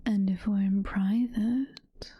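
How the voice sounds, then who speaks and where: A woman playing a doting, possessive demon mommy girlfriend in an ASMR roleplay, whispering softly and tenderly with a British accent. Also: mid-range voice, steady tone, no echo, dull audio. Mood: playful, hopeful. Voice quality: breathy, soft-spoken whisper. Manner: slow, tender, coaxing. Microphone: close ASMR microphone.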